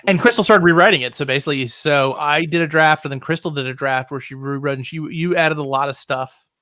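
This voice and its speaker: Male writer guest for casual interview. Crisp bright tone, dry acoustic, wry joking delivery. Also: a little high, brisk, no echo.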